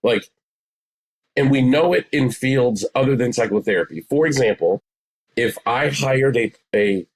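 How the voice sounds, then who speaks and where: A man's voice: medium pitch, expressive, a little slow, low-quality recording. A man in his forties explaining things conversationally as an interview guest, emphatic and repeating phrases for stress. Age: 40s. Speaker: psychotherapist, podcast guest.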